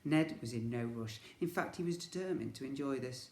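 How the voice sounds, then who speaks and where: A man's voice: medium pitch, fairly quick, darkish tone, reverberant, a little hiss or hum. A man narrating a storybook for young children, in the manner of a teacher reading aloud.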